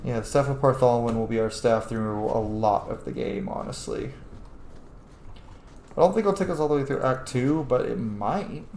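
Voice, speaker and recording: A man's voice: mid-range voice, normal speed, a little mellow, slight background noise. A man hosting a video game let's-play with chatty, theatrical, sweary casual commentary. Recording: roomy echo.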